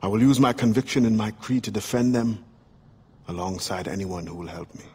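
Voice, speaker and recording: A man acts out a middle-aged freedom fighter in a cinematic game drama. His low voice is grave and deliberate, carrying solemn conviction in formal phrases. Faint background noise sits behind him. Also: steady tone, a little mellow, close to an everyday voice. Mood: earnest conviction. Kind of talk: cinematic video game acting.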